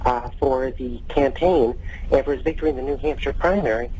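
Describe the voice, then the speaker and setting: A man's voice: medium pitch, fairly quick, darkish tone, slight background noise, rough recording. A man calling a TV show, speaking politely and formally. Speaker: caller. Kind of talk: prank call to a TV call-in show.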